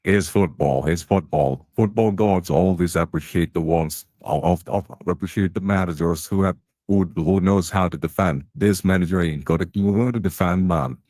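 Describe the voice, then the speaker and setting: A man's voice: deep voice, moderate pace, hardly any pauses, darkish tone, no echo. A man playing a gossiping canteen tea lady, chatty and blunt with plenty of slang. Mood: grumbling.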